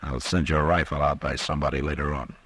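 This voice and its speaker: A stern frontier marshal in an old-time radio western. His American voice is very low, and he talks in terse, commanding lines with steady authority. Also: fast talker, breathy edge, dry recording, full character voice. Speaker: lead actor and narrator. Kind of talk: 1950s radio western acting.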